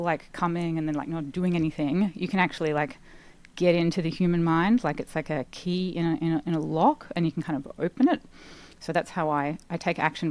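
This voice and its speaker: An Australian woman in her forties, a guest talking through an interview in an animated, chatty way full of "like" fillers. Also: sits low. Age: 40s. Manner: animated, talkative, with frequent "like" fillers. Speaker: guest, sustainability expert. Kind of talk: casual podcast interview.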